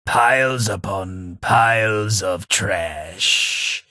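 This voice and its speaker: An English actor voicing a posh, sardonic ghoul gentleman companion in a video game, with a plummy, dry delivery. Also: on the low side, extremely slow, no room sound, full character voice. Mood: wry. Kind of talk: game voice lines.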